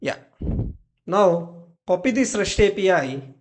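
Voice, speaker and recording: Slow-paced software tutorial presenter. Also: mid-range voice, expressive. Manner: instructional, short clipped commands. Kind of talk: step-by-step screen-share tutorial narration.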